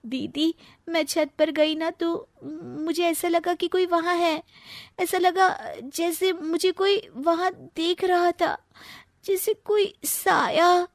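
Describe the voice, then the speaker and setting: A woman's voice: very high-pitched, fairly even intonation, takes it slowly, dry recording, studio quality, voice pushed up, full character voice. A woman voicing a timid, sly young sister-in-law with a whiny, dramatic manner in a family story. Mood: pleading.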